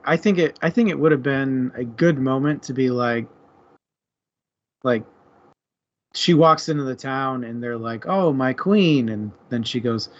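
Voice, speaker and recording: A man's voice: mid-range voice, fairly bright tone, muffled recording. A man co-hosting a casual book discussion, speaking informally and loosely.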